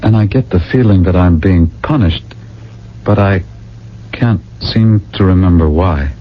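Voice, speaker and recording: A man's voice: low voice, measured pace. A man in a radio drama playing a dazed, subdued man, hesitant in his speech. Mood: dazed, troubled. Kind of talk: 1980 radio drama acting. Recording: dull old radio recording, faint hum.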